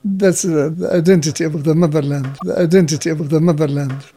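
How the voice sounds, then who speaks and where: Older man, mellow voice. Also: a little high, steady tone. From Palestine. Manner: halting, searching for words. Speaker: artist being interviewed. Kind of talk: interview answers.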